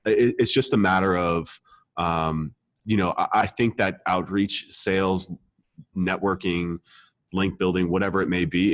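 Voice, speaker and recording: A man's voice: low-pitched, no room sound. A man hosting a podcast, talking casually and emphatically, repeating points for stress and checking in with the listener. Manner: emphatic, repetitive, casual swearing, frequent check-in tags. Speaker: podcast host, marketer. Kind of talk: casual conversational advice.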